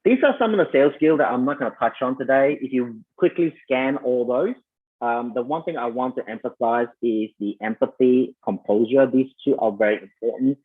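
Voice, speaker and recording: A man's voice: medium pitch, normal speed, husky. Malaysian-accented man on a tinny line. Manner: conversational, many fillers, addresses listeners as "you guys". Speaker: sales coach. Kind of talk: online summit talk.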